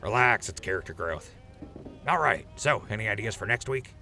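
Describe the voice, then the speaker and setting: A man's voice: fairly low pitch, animated, normal speed, gravelly, pushed and bright, faint music behind, exaggerated voice. A man playing an easygoing, wisecracking leader of a radio crew in an audio drama, glib and casual. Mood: breezy.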